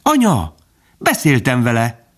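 A man's voice: medium pitch, wildly swooping pitch, pitched above the performer's own voice. A man voicing a young male fawn in a storybook, an innocent, curious child who speaks eagerly and politely. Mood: breathless enthusiasm. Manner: eager, exclaiming, politely pleading.

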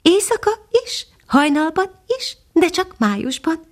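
A woman voicing a modest little female nightingale in a storybook, speaking gently and patiently. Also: very high voice, sing-song, pitched above the performer's own voice. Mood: explaining. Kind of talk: storybook character voice.

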